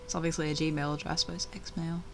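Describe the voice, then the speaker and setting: A woman reading a visual novel aloud in first person, animated and chatty, with offhand asides. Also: sits low, a little fast, a crowd in the background.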